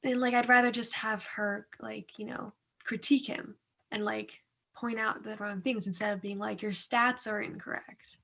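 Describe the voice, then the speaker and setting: A woman's voice: mid-range voice, flat delivery, normal speed, gravelly, pushed and bright. A young woman co-hosting a discussion in a casual, colloquial and loosely phrased way. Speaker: co-host of a reading discussion. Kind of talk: casual chat.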